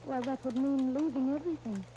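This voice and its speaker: An actress playing a gentle, haunted young wife, tender and restrained, in an old-time radio drama. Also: female voice, sits high, fairly quick, lo-fi sound, a little hiss or hum, natural voice. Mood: sad, reluctant. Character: gentle, haunted young wife Molly, sorrowful and self-denying.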